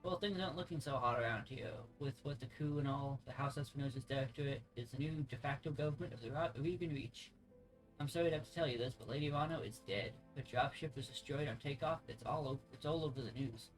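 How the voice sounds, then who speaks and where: A man's voice: mid-range voice, fast talker, natural voice, poor audio. A man reading aloud the game dialogue of a friendly, plain-spoken mercenary officer, conversational and stumbling over some words. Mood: somber. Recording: music playing behind the voice.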